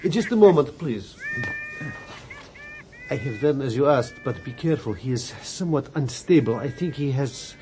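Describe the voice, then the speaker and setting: An actor playing a stiff, formal Russian ambassador with a Russian accent and halting, fastidious delivery in a satirical radio play. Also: male voice, mid-range voice, lively intonation, warm tone, a little hiss or hum, close to an everyday voice. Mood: formal, wary.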